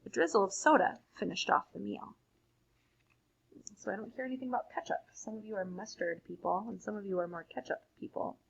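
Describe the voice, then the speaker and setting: A woman reading a picture book aloud to children in an expressive storytelling manner, adding simple, casual explanations. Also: medium pitch, brisk, vocal fry, slightly rough, highs cut off. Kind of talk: read-aloud picture book narration with casual asides to young listeners.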